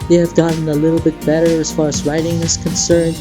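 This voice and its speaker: A man's voice: on the high side, completely flat delivery, quick pace. A man hosting a gaming reaction video, mixing scripted commentary with casual chat in a theatrical, playful fan manner. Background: music behind the voice.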